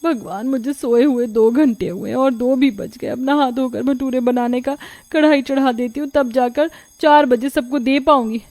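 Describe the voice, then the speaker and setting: A woman's voice: high-pitched, steady tone, moderate pace, hardly any pauses, darkish tone, dry recording. A woman voicing a young, overworked, exasperated daughter-in-law in a cartoon story, with a dramatic complaining manner. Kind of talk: Hindi cartoon story voice acting.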